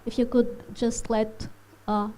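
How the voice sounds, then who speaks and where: Slow, hesitant woman from Portugal. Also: fairly high pitch, level tone, mellow, a little hiss or hum. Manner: hesitant, many fillers, non-native phrasing. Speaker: animation filmmaker giving a masterclass. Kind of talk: presentation to a live audience. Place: festival hall.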